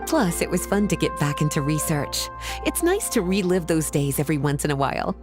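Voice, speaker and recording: A woman playing a confident, teasing lady scholar in an anime game, smooth and playful. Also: deep voice, very animated, a little fast. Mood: relaxed. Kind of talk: anime video game voice acting. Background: music.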